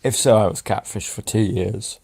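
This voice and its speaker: A man hosting a casual live stream, excitable and hyping up callers. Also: lowish voice, moderate pace, creaky, a little hiss or hum. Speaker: stream host.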